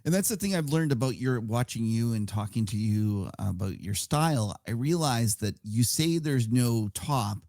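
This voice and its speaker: Brief: male podcast co-host, gravelly and mellow, dry close sound, measured delivery. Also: medium pitch, animated, no echo. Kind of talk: casual podcast chat.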